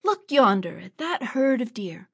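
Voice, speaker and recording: A woman's voice: mid-range voice, measured pace. Woman voicing a defiant young archer. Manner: boastful, defiant. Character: angry proud young man archer.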